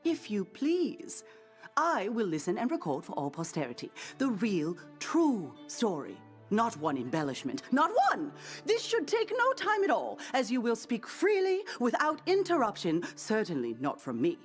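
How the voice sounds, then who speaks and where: A woman playing a gushing, self-important theater director in a video game, speaking with pompous, effusive flourishes and dramatic pauses. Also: a little high, wildly swooping pitch, faint music behind. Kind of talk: video game character acting.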